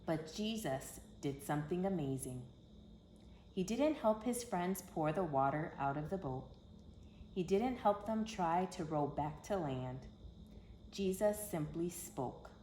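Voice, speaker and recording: Expressive woman storyteller in an echoey room. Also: sits low. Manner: dramatic, emphatic phrasing. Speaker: storyteller for children. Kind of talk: expressive children's picture book read-aloud. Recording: echoey room, faint background noise.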